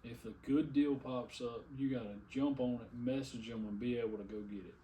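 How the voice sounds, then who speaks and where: A man's voice: medium pitch, steady tone, brisk, dark tone, echoey room, far from the mic, a little hiss or hum, poor audio. A man co-hosting a podcast in easygoing casual chat, laughing now and then. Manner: laid-back, chuckles often. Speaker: podcast co-host. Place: room at a high table. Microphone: desk mic on a stand.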